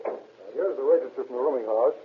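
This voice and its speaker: An actor playing a dutiful police handwriting expert in a 1930s radio crime drama, precise and deferential. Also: male voice, medium pitch, fast talker, a little hiss or hum, lightly acted. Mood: businesslike. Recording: muffled old radio recording, narrow band.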